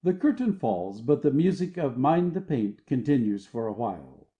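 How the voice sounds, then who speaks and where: A very dark, soft-toned narrator reads stage directions as scripted narration. The delivery moves with lively pitch, and the recording is slightly muffled. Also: normal speed.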